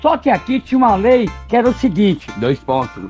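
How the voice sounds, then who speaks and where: An older man with a notably high voice leads a village tour, mixing history with wisecracks and tripping over his words now and then. His colors every line, and a faint strain of music runs in the background. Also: sing-song, a little fast, a little mellow. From Bahia, Brazil. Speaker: local tour guide. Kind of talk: joking storytelling tour talk.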